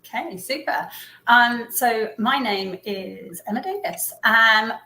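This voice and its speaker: A woman's voice: on the high side, lively intonation, slow, creaky, pushed and bright. English woman guest in an echoey room. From England, Herefordshire. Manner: thoughtful, friendly, laughs easily, frequent ums. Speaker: interview guest, business owner. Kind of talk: casual interview. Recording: roomy echo.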